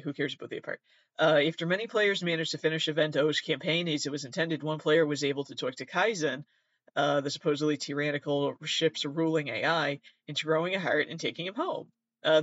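A man hosting a casual, rambling reaction commentary, with sardonic, sweary asides and muttered self-talk. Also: a little high. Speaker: host. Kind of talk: casual rambling reaction commentary, reading aloud from web articles. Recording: roomy, slightly dull lo-fi sound.

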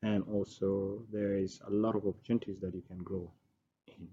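Sudanese-born man, lowish mellow voice, measured and halting, muffled lo-fi recording. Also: flat delivery, slight background noise. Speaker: learning support officer. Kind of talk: school interview answers. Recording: dull low-fidelity school recording.

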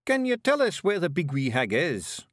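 A man voicing a tiny, commanding male fairy-folk leader with a forceful Scottish accent in a storybook. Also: on the high side, wildly swooping pitch, normal speed, dry recording, studio quality, pitched above the performer's own voice. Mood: puzzled, impatient. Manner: forceful, urgent, plain-spoken. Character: tiny gruff male Feegle leader, commanding, fiercely protective.

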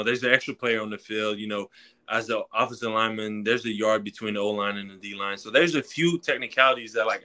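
Young man, bright tone, lowish pitch, casual interview guest. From Quebec, Canada. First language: French. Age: 20s. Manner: relaxed, many fillers like "you know". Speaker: guest, college football player.

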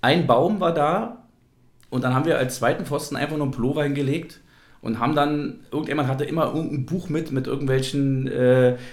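A man's voice: mid-range voice, a little fast. A man from East Germany hosting a casual football podcast, talking in a rambling, reminiscing way. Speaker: podcast host. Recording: roomy, echoey sound.

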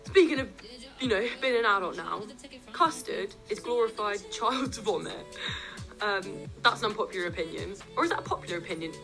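A woman's voice: medium pitch, expressive, brisk, creaky, music in the background, poor audio. Chatty young woman on student radio. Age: young adult. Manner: chatty, jokey, opinionated. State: croaky voice. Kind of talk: casual radio chat.

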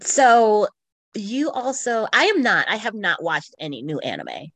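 A woman's voice: medium pitch, sing-song, a little slow, fairly bright tone, no room sound. A woman co-hosting a podcast in casual, giggly conversation. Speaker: podcast co-host. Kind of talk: casual chat about books and shows.

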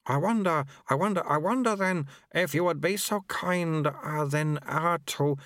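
A man's voice: fairly high pitch, measured pace, a bit bright, dry recording, clean studio sound, pitched above the performer's own voice. A man voicing a flustered senior male monk who stammers and dithers. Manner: stammering, dithering, full of uhs.

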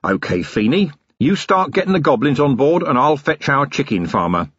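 A male narrator voicing a gruff, tired but commanding city police commander in an audiobook, giving brisk, clipped orders. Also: mid-range voice, big pitch swings, fairly bright tone, no echo, muffled recording, pitched above the performer's own voice.

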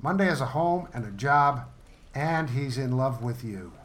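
A man's voice: medium pitch, lively intonation, unhurried, a bit bright. A man playing a naive, eager, meddling office buddy in a comedic audio play, guileless and nosy. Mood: puzzled, nosy.

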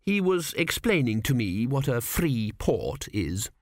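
A man's voice: medium pitch, very animated, a little slow, dry recording, clean studio sound. A man voicing a blunt, imperious young queen who gives snappish, sour commands in a storybook reading. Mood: commanding, sour.

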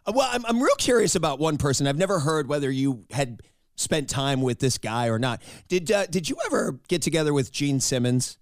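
Chatty man with a raspy cold voice. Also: on the high side, sing-song, a little fast, no room sound, clean studio sound. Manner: chatty, self-deprecating, laughs often. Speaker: host. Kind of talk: casual interview and narration.

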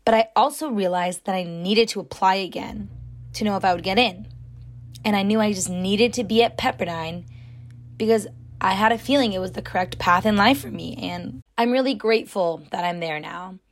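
A young woman with a raspy, somewhat deep voice hosting her own podcast, talking loudly and rambling with lots of fillers. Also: normal speed. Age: young adult. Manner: chatty, loud, rambling with many fillers. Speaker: podcast host. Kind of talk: casual solo podcast talk.

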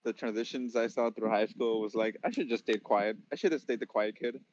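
A man's voice: mid-range voice, a little fast, darkish tone, no echo. Chill twenty-something guy chatting as a podcast guest, kinda muffled dry audio. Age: 20s. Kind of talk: casual chat.

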